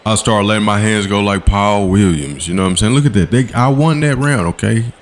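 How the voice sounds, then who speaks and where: A man, giving laid-back voice-over commentary on his gameplay in a slangy, coaching way. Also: slight background noise. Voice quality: low voice. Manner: laid-back, coaching, slangy with quick "boom" call-outs. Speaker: gaming channel host. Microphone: voice-over recorded separately from the footage.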